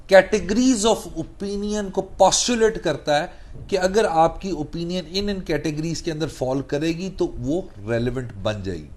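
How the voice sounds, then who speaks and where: A man's voice: on the high side, a little mellow. A man with a Pakistani accent explaining law in a didactic, conversational lecture, mixing in English legal terms. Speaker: lawyer and legal trainer, the guest lecturer.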